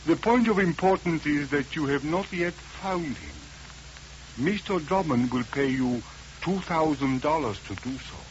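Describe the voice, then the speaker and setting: A man's voice: on the high side, animated, a little slow. An actor playing a slight Egyptian gunman in an old radio drama, formal, stilted and politely threatening. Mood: smoothly menacing. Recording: dull, noisy old radio recording.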